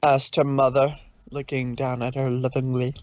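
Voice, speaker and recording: A man's voice: mid-range voice, flat delivery, moderate pace, a little mellow, dry recording, muffled recording. A man narrating a story aloud in a dramatic reading style.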